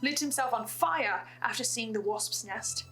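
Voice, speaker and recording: A woman delivering scripted analytical commentary as a host, in a musing, dramatic way with deliberate pauses. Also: fairly high pitch, pushed and bright, faint music behind. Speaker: host of a podcast reaction and theory video.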